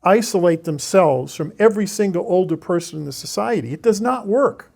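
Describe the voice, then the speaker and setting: A man's voice: sits high, expressive, vocal fry, a little mellow. A man in his seventies, a guest expert in a studio discussion, talking emphatically and jumping in to argue his point. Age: 70s. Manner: emphatic, argumentative, quick to interject.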